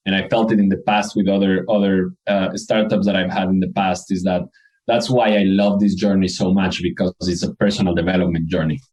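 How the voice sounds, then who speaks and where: A man's voice: sits low, level tone, fairly quick, a little mellow. A man from Ecuador with an Ecuadorian accent, a company co-founder answering interview questions in an earnest, reflective way. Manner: earnest, reflective, frequent restarts.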